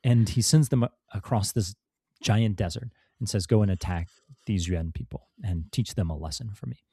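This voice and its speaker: A man's voice: fairly low pitch, normal speed, warm tone, husky, no echo. A man, a podcast guest and author, telling a history story in casual talk, with many fillers and restarts. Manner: rambling, many fillers and restarts, laughs now and then.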